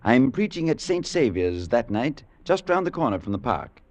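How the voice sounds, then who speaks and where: An actor playing an earnest, courteous clergyman in an old-time radio drama, speaking with measured, formal gravity. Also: mid-range voice, very animated, a little mellow. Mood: courteous, amiable. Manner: measured, grave, formal storytelling. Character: earnest, courteous, compassionate clergyman recounting a dark tale. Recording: muffled old radio recording with music.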